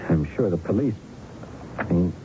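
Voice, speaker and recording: An actor playing an anxious, guilty man in a radio drama, halting and evasive. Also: low voice, lively intonation. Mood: uneasy. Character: anxious, guilty man cornered by criminal accomplice. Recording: muffled old radio broadcast, faint noise.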